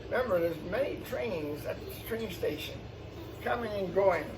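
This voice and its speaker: A man's voice: sits high, animated, normal speed. A man hosting storytime, reading a children's picture book aloud with exclamations and explanatory asides for young listeners. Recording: distant mic, faint background noise.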